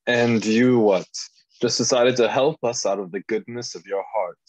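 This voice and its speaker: A man playing a sardonic, ambitious wizard with a dry, sarcastic delivery in an animated fantasy table read. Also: medium pitch, moderate pace, no echo, close to an everyday voice, low-quality recording.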